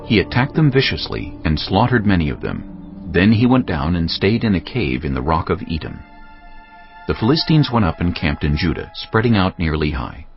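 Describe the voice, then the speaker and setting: A man's voice: deep voice, sing-song, normal speed. A man narrating scripture in a dramatic, scripted style. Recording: dull lo-fi audio with music. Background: music.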